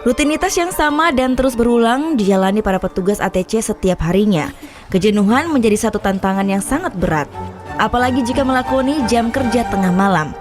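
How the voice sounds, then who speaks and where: A woman with a slightly high voice narrates a news feature in a scripted style. She, and music plays clearly behind her. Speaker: reporter and narrator. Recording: voice-over with clear background music.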